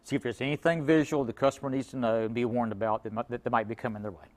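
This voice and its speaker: A man's voice: mid-range voice, fairly even intonation, very fast. A middle-aged man, a car repair expert, explaining things conversationally in a folksy way and acting out imagined quotes. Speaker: auto repair shop owner, guest expert.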